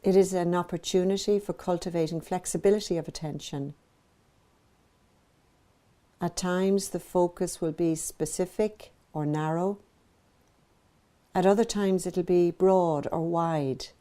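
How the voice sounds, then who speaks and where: A woman meditation teacher narrating a guided practice in a gentle, measured, soothing manner, pausing between phrases. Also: lowish voice, fairly even intonation.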